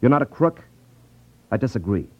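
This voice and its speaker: An actor playing a cool, sardonic private detective with a wry manner in a 1950s radio drama. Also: male voice, medium pitch, very animated, normal speed, breathy edge. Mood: scornful. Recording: dull 1950s radio recording.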